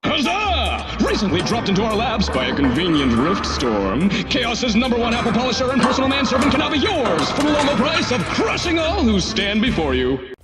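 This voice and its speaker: A man's voice: extremely high pitch, huge pitch swings, normal speed, heavily acted. A man voicing a gleeful, theatrical game-cartoon arena commentator who sells the event like a huckster. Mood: gleeful hype. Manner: theatrical, salesman-like. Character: gleeful, fast-talking villainous derby co-announcer. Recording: roomy echo, loud music behind.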